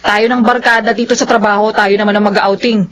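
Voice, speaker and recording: A woman's voice: mid-range voice, level tone, fairly quick, natural voice. A woman with a Filipino accent playing a friendly, assertive working girlfriend in a radio drama. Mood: breezy banter. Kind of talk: Tagalog radio drama acting.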